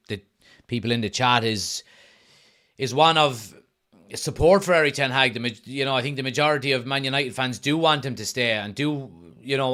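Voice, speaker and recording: A young Irish man hosting a live football watch-along stream, chatting casually, reading viewers' messages aloud and calling the match action. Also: mid-range voice. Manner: reads viewers' chat messages aloud and answers them; excited play-by-play bursts during match action.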